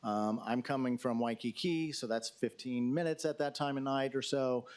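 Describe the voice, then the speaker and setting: A man's voice: medium pitch, moderate pace, darkish tone. Hesitant man briefing a council. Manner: hesitant, many fillers and restarts; addresses questioner as sir. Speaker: emergency management official. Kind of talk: answering questions at a council briefing.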